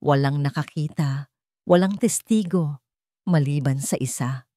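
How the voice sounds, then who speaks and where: A guilt-ridden man in a dramatic audio story, acted out by a woman. The voice is low and trembles as he confesses a dark secret. The sound is close and dry. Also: unhurried, no echo. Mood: anguished confession. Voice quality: trembling, breaking voice.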